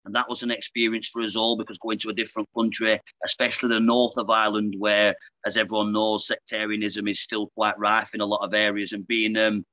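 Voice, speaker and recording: A Lancashire man in his thirties tells his story to a podcast host over a video call, chatty and frank, dropping "mate" at the end of his sentences. His voice is bright and forward. The sound is close and dry, with no room around it. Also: fairly low pitch, monotone, fairly quick, no room sound, poor audio. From Lancashire, England. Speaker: guest, pro boxer. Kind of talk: casual interview chat. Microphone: earbuds on a video call.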